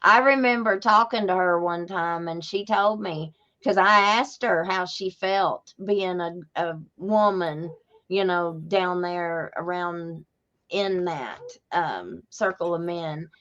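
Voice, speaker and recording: A woman's voice: lowish voice, takes it slowly, a bit bright, lo-fi sound. A woman with a Southern Appalachian accent, chatting casually as a podcast host in a folksy, conversational manner. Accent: Southern Appalachian American. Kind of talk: casual chat.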